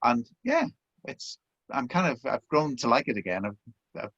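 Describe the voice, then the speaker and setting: A man's voice: mid-range voice, huge pitch swings, normal speed, gravelly, fairly bright tone, slightly rough, no echo, dull audio. A middle-aged man from Belfast with a Belfast accent, an author talking chattily about his past in an interview. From Northern Ireland. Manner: chatty and self-deprecating, with frequent restarts. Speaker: author and former broadcast journalist. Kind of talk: relaxed interview chat.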